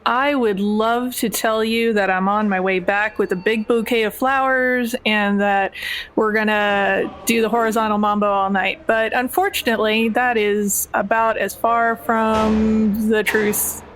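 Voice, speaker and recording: A woman's voice: a little high, fairly even intonation, a bit bright. Woman playing a weary, sardonic jailed repeat offender. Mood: defensive. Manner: sardonic, halting. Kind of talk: improvised tabletop roleplay. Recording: faint background noise.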